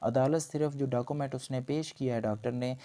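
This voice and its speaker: Guy teaching law, soft mellow tone, mid pitch, explains stuff and says it again another way. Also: fairly quick. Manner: explanatory, repeats and rephrases points. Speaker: law lecturer. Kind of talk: lecture explaining legal points in Urdu mixed with English terms.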